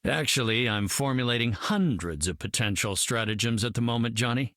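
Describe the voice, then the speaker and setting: A man's voice: mid-range voice, fairly bright tone, no echo, close to an everyday voice. Brainy game hero male actor: mid-range, earnest, exact diction, dry close recording. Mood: sober. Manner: precise, measured, earnest. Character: brainy, sober scientist hero. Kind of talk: video game voice acting.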